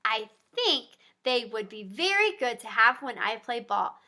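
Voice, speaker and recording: A woman's voice: fairly high pitch, a little slow. A woman, a pediatric therapist, reading a picture book aloud to young children and talking directly to them. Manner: animated, talks directly to young listeners.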